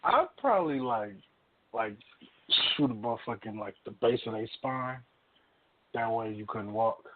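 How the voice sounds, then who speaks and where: A man's voice: mid-range voice, animated, a little slow. A man in his late twenties with an American accent, chatting casually. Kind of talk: casual chat. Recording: noisy, tinny phone-like line.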